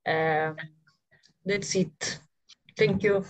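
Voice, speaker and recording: A woman's voice: medium pitch, fairly even intonation. A woman from Italy in her thirties introducing herself as a new volunteer, hesitant with many fillers. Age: 30s. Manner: slow, hesitant. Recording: dull lo-fi call audio.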